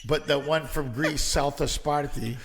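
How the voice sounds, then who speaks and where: A middle-aged comedian tells stories in a lively, casual way. His voice is gravelly and sits a little high. A long reverb trails behind him. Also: a little slow, a little hiss or hum. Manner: animated storyteller, swears. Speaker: comedian guest. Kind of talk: casual storytelling chat.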